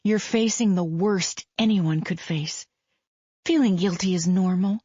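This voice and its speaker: A woman's voice: on the low side, measured pace, mellow, slightly rough, no echo, highs cut off, pitched above the performer's own voice. A woman reading a caring friend who soothes and steadies a grieving mother. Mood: concerned. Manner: soothing, firm reassurance.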